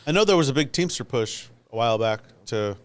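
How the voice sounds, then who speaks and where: A man's voice: mid-range voice, animated, a little fast, smooth. An American man chatting casually as a co-host on a podcast. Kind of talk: casual chat.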